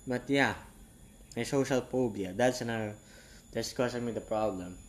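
An eighteen-year-old man from Southeast Asia, English not his first language, talking frankly in a rambling, halting casual monologue. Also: medium pitch.

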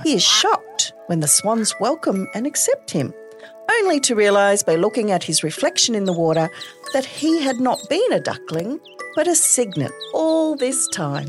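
A woman hosting a podcast interview, effusive and gushing with praise. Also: mid-range voice. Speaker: podcast host. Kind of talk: podcast interview, reading intro script.

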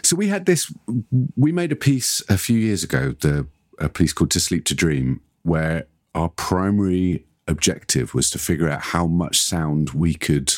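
A man's voice: sits low, sing-song, normal speed, dry recording, studio quality. A man in a casual, analytical podcast conversation, speaking reflectively and searching for his words as he explains. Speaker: podcast discussant, audio storyteller.

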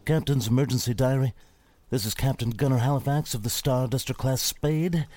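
A man's voice: medium pitch, normal speed, darkish tone, dry recording, lightly acted. An actor playing an earnest male spaceship captain dictating a log in a radio play. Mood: earnest reporting.

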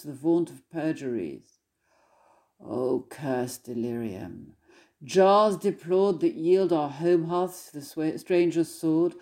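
A woman scholar reading poetry aloud in a solemn, measured, declamatory recitation. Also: deep voice, warm tone.

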